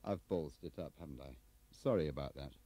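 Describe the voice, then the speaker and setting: A sardonic, coarse British investigator, played by a male audiobook reader, needling and bitter. The voice is dark and mellow, with a very dry, close sound. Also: very deep voice, a little fast, dry recording. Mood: bitter, weary.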